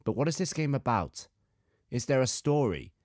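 A man in his thirties, slightly hoarse with a sore throat, hosting a podcast in a measured, articulate, scripted narration style. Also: medium pitch, sing-song, darkish tone, no echo, clean studio sound. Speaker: podcast host, composer.

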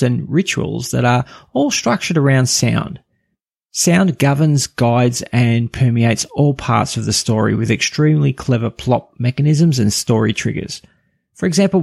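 A male podcast host reads his review in a steady, scripted way. His voice is very gravelly and husky, and it sounds close and dry with no room echo. Faint music plays underneath. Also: medium pitch.

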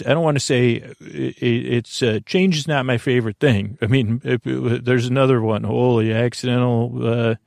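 Male sleep podcast host, gravelly and earnest, rambling with stop-start phrasing, recorded close and dry. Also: medium pitch, no room sound. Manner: halting, meandering, trailing off mid-sentence. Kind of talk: rambling, informal bedtime podcast monologue.

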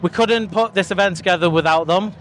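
Upbeat high-voiced male interviewer. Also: a little fast, a bit bright. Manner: upbeat, chatty questions with restarts. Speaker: podcast interviewer. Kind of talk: live event interview. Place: outdoor festival grounds.

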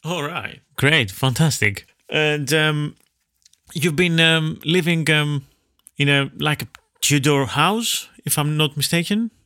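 A man's voice: on the high side, slow, bright, forceful tone, dry recording, studio quality. A man hosting a podcast interview, friendly and a bit hesitant, with frequent ums and uhs. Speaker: podcast host. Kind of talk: interview conversation.

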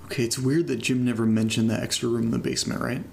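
A man's voice: medium pitch, steady tone, gravelly, a little hiss or hum, natural voice. A man playing an uneasy homeowner in a found-footage horror audio drama, talking casually and hesitantly as if keeping a spoken diary. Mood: wary, puzzled. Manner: hesitant, halting, casual muttering to listeners. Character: uneasy man documenting his new house, casual, nervous.

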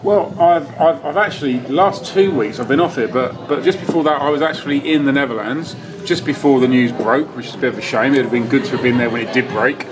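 Male guest, London English accent, measured and hesitant, pub crowd chatter clear behind. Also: medium pitch. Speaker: podcast guest. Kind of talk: casual pub chat.

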